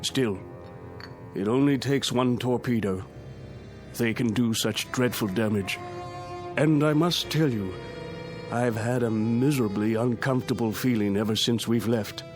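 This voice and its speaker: An actor in a radio drama playing a nervous, well-mannered British army major with a British accent. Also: male voice, mid-range voice, a little slow, darkish tone, faint music behind, lightly acted. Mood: anxious.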